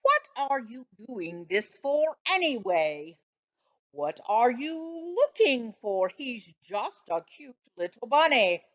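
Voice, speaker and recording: Woman reading as a skeptical, indulgent family dog, lively pitch. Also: slow pace, lo-fi sound, exaggerated voice. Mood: questioning. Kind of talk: children's storybook read aloud.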